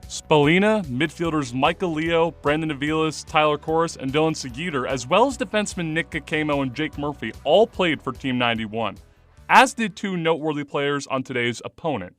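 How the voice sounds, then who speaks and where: A man's voice: on the high side, lively intonation, brisk, fairly bright tone, faint music behind. A man reading a scripted sports radio report as the reporter.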